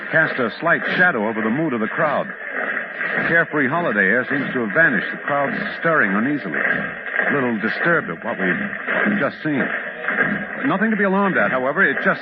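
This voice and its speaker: A man's voice: on the high side, natural voice. An actor playing a parade announcer in an old-time radio drama, an American man with a theatrical, breathlessly descriptive delivery. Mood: uneasy. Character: excited male parade announcer turning uneasy. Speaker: on-the-spot radio commentator. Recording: muffled vintage radio, loud crowd and noise. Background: noisy parade crowd.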